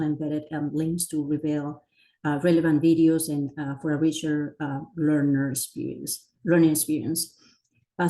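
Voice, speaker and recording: Presenter: middle-aged woman, low voice, full of hesitations and restarts. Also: dark tone, rough recording. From Chile. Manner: many fillers and self-corrections. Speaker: educator presenting a teacher training. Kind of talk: conference presentation.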